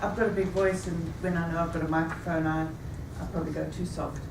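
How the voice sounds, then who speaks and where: Woman, fifties, rehearsed personal story, unhurried, recorded far off in a roomy hall with audible background noise. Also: low-pitched, steady tone, vocal fry. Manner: measured, deliberate delivery. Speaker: speaker. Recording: echoey room, taken from a distance.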